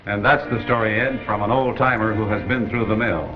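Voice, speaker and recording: A man's voice: on the low side, fairly even intonation, fairly quick, natural voice. An actor playing an assured man narrating the wrap-up of a 1930s educational film. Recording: muffled 1930s film soundtrack, music behind.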